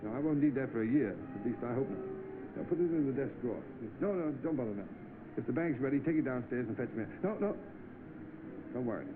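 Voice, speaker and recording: Man acting as determined rich English gentleman, mid-pitched voice, clipped, polite, vintage film drama, thin noisy soundtrack. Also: expressive, quick pace, phone-quality sound, lightly acted. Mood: brisk, courteous. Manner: polite, clipped, upper-class understatement.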